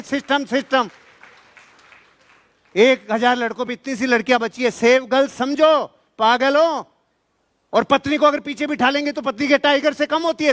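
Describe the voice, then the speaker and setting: A man's voice: extremely high pitch, fairly bright tone, pitched above the performer's own voice. A man voicing an exasperated common man in a comic seminar bit, scolding and ranting in indignant everyday language.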